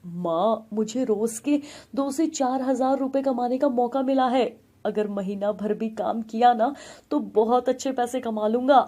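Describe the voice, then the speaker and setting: A woman's voice: fairly high pitch, measured pace, dark tone. A woman voicing an earnest, hardworking young village man in a story. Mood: earnest, hopeful. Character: ailing hardworking young husband and son.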